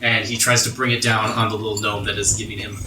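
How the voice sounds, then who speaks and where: Echoey, low-voiced man narrating a game. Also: level tone, quick pace, a crowd in the background. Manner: conversational, descriptive storytelling. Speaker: game master. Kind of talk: narrating a tabletop game with friends. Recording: echoey room, far from mic, music behind. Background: music.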